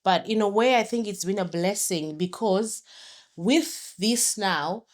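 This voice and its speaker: A woman's voice: medium pitch, a little slow. A middle-aged woman from Zimbabwe hosting a solo podcast, chatty and promotional, often correcting herself as she talks. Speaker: podcast host.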